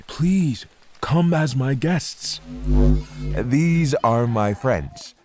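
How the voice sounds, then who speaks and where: An actor playing a friendly West African village drummer and storyteller's son, gracious, measured and welcoming, in an audio drama. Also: male voice, medium pitch, lively intonation, darkish tone, faint music behind.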